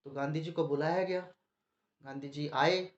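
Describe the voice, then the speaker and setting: A man's voice: a little high, steady tone, measured pace. Guy with a sore throat preaching, asks questions then answers them himself, dull echoey sound. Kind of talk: devotional talk to a gathering. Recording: muffled, echoey room.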